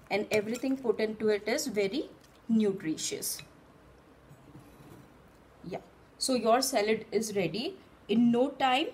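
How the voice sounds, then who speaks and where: A woman's voice: on the high side, a little mellow, slight background noise, low-quality recording. A woman in her thirties, host of her channel, explaining a simple recipe step by step from a table in a small room. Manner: patient, step-by-step instruction. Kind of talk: cooking demonstration for parents.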